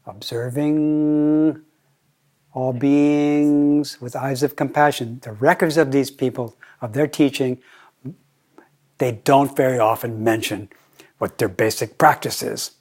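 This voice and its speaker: An older American man giving a Zen dharma talk, playful and deliberate, often slowing down and repeating his phrases. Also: mid-range voice, fairly even intonation, darkish tone. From United States. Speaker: Zen teacher.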